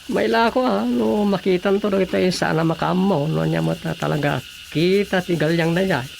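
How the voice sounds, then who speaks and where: An actor playing a talkative provincial man in a radio comedy, with an Ilocano accent and a gravelly, folksy delivery. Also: sits high, moderate pace, a little hiss or hum. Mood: chatty, curious.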